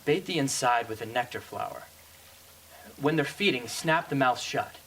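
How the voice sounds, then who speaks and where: Radio drama rebel hunter, young male, earnest and stubborn, bright forceful voice; man acting. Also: medium pitch, expressive, normal speed, low-quality recording. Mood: thoughtful. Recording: faint background noise.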